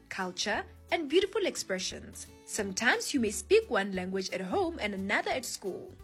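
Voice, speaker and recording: A South African woman hosting a children's show, giving a scripted lesson and addressing listeners as friends. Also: a little high. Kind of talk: scripted educational presenting for children.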